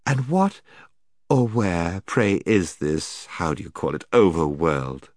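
A man voicing a sweet, coaxing, half-mocking witch queen in a storybook, with soft, slow, courtly speech. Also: low-pitched, big pitch swings, no echo, studio quality, heavily acted. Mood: coaxing, amused. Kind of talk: dramatised storybook reading.

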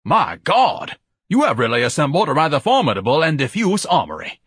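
Pompous big man, ornate declamation, easily panicked, husky, very animated, dry audiobook sound. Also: on the high side, a little fast, no room sound, pitched above the performer's own voice, exaggerated voice. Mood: grand. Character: pompous, bellowing, paranoid large man, grandiose and easily panicked.

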